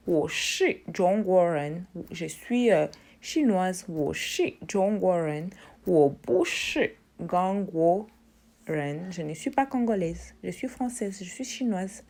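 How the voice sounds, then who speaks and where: Woman, language teacher, slow careful pace, patient repetition. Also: lively intonation, a little mellow. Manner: repeats Chinese words slowly, then explains in French; drills tones in a high voice. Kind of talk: informal language lesson.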